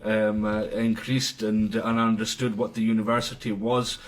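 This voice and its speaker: A man, an imam, answering podcast interview questions in a halting way with many fillers. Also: fairly low pitch, completely flat delivery, far from the mic.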